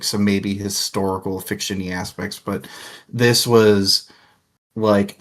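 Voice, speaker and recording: Low, slow-talking young man, laughing. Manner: rambling, laughs often, crude jokes. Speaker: book discussion co-host. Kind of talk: casual chat.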